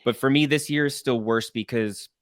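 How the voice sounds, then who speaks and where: A man in his thirties hosting a casual sports interview, speaking haltingly and often repeating words as he goes. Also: medium pitch, no room sound, clean studio sound. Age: 30s. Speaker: podcast host, interviewer.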